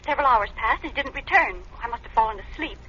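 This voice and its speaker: A woman's voice: fairly high pitch, animated, rapid-fire, pushed and bright, breathy edge, exaggerated voice. A woman playing an official's fretful wife on the telephone in a 1930s radio drama, breathless, worried and faltering. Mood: anxious, distraught. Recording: dull, noisy old radio phone scene.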